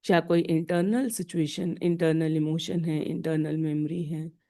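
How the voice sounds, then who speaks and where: A woman with an Indian accent leading a guided meditation in a deliberate, instructional way, pausing between short phrases. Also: low voice, steady tone, mellow.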